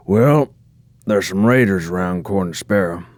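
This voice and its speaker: A man's voice: low voice, measured pace, darkish tone, no room sound. A man voicing a plain-spoken, matter-of-fact companion creature, casual and informative, in an audio drama.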